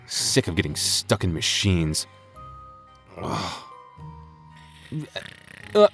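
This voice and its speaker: A man playing a soft-spoken goth boyfriend. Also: on the low side. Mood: weary, teasing. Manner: soft-spoken, stammering when flustered. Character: gentle, nervous young goth boyfriend. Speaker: ASMR voice actor playing a boyfriend. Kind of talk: boyfriend ASMR roleplay.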